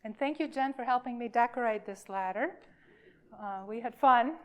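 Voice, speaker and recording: A woman minister giving an informal sermon for all ages, speaking in a halting, emphatic way with deliberate pauses. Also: fairly high pitch, highs cut off.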